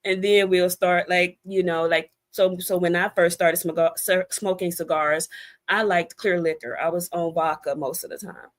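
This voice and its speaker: A woman's voice: on the low side, fairly even intonation, moderate pace. An American woman talking casually as an interview guest, explaining things in a chatty, conversational way. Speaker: interview guest, author and cigar expert.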